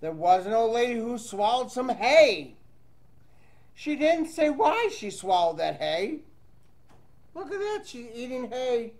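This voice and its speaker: A man's voice: very high-pitched, sing-song, measured pace, a little mellow. A man reading a children's storybook aloud in a playful, sing-song way, with chatty asides to young listeners. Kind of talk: animated read-aloud to children with casual asides.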